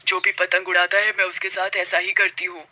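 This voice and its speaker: Vengeful cartoon witch, played by a woman, menacing and taunting, very piercing, forceful tone. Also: on the low side, level tone, fairly quick. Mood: sorrowful, bitter. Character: vengeful female witch who turns kite-flyers into kites.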